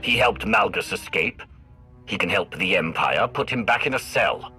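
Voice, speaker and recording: A man's voice: fairly low pitch, bright, forceful tone, faint music behind. A man playing a coolly menacing Sith in a video game, speaking with controlled authority. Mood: commanding. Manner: controlled, authoritative, measured threats. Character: commanding, coolly menacing male Sith agent. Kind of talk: video game cutscene acting.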